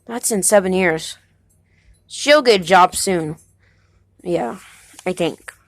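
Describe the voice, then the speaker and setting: A young man playing a halting nineteen-year-old husband. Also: sits high, gravelly, a bit bright, no room sound, full character voice. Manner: hesitant, halting, many restarts. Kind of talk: amateur home movie acting.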